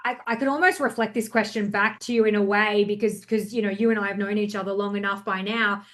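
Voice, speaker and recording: A young adult woman speaking as a podcast guest in casual chat, passionate and energetic, swearing freely as she talks. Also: medium pitch, fast talker, a little hiss or hum.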